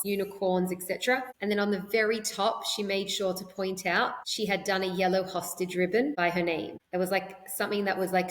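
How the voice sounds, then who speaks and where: A woman, a podcast guest, talking in a chatty, rambling way with lots of fillers and restarts. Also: fairly low pitch. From Australia. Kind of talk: conversational interview. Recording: echoey room with long reverb.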